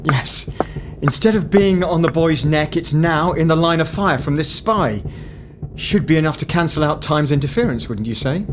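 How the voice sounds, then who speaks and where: A man's voice: fairly high pitch, expressive, moderate pace, a little hiss or hum, close to an everyday voice. A man playing a stern, terse, aloof agent who guards time in an audio drama. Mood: commanding.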